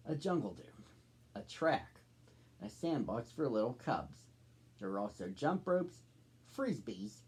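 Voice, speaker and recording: A man's voice: mid-range voice, a little mellow, slightly rough. A man in his thirties hosting and reading a storybook aloud for children. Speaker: storybook reader, host.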